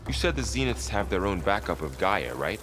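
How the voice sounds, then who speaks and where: An actor playing a steady, dutiful male scout companion in a video game, calm and practical. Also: sits low, brisk, background noise. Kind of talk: video game cutscene acting.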